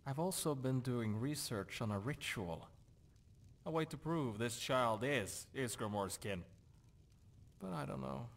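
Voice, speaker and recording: A man's voice: medium pitch, animated, unhurried, gravelly. An actor playing a gloomy, disillusioned nobleman in a fantasy video game, brooding and questioning himself. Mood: weary, uncertain. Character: dour, disillusioned Nordic nobleman doubting his purpose. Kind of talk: video game character dialogue.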